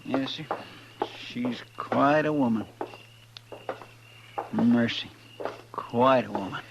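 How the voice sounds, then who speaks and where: An actor playing a loyal, folksy marshal's sidekick in a 1950s radio Western, deferential and musing. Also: male voice, medium pitch, slow, vocal fry. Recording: noisy, dull old radio recording.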